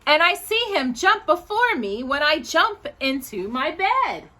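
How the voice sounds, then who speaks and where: Woman, high voice, very lively intonation, children's read-aloud storytelling. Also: measured pace. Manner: animated, sing-song reading.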